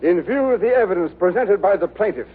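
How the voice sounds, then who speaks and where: An actor playing a stern, formal judge in an old radio sitcom, speaking with authority. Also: male voice, high-pitched, animated, quick pace, husky. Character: stern, formal male judge presiding over court. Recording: tinny, narrow old radio sound.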